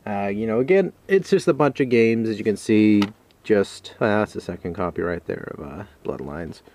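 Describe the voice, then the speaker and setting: A man's voice: on the low side, lively intonation, normal speed, a little mellow. A man doing a casual, unscripted show-and-tell vlog in a rambling, hesitant, self-deprecating way. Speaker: video game collector, vlogger. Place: a room at home.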